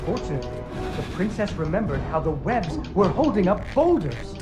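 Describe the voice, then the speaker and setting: A woman's voice: lowish voice, big pitch swings, fairly quick. A woman voicing a young girl who narrates a puppet-show story in a video game, in a sing-song storybook manner. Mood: storybook telling. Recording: game audio with music behind.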